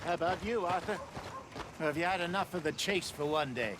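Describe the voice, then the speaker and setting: An actor playing a genial, dry-witted old outlaw in a video game, talking in an easygoing way. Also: male voice, fairly high pitch, brisk, natural voice. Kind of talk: naturalistic video game acting. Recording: very noisy outdoor game ambience, horse hooves.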